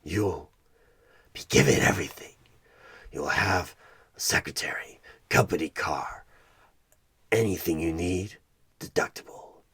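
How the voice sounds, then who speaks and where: Sinister devil-as-recruiter for horror ASMR: extremely low, husky and breathy, menacingly persuasive, often sinking into whispers. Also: male voice, a bit bright, heavily acted. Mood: seductive, grandiose. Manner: slow, menacing, persuasive, drawn-out pauses. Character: sinister devilish male tempter recruiting as job interviewer. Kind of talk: horror ASMR one-sided roleplay.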